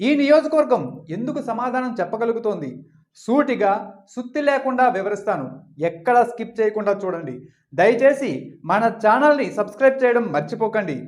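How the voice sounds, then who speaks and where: A man's voice: very high-pitched, animated, a little fast. A man delivering scripted political commentary as a channel host, emphatic and full of rhetorical questioning.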